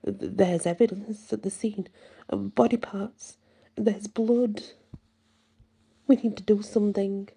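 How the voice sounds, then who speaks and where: Woman voicing a shaken, pleading man, husky and trembling. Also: mid-range voice, moderate pace, dark tone. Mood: agitated. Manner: trembling, stammering, urgent. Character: shaken, pleading young man who witnessed a killing.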